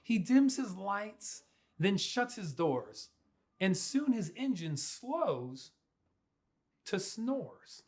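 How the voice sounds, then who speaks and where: A young man reads a picture book to children. His voice sits high for a man and swings widely in pitch. He takes his time, stretching words out in a playful, expressive style. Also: drawn-out words. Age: young adult. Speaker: pastor reading to children. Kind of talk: animated children's storybook read-aloud. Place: small furnished room indoors.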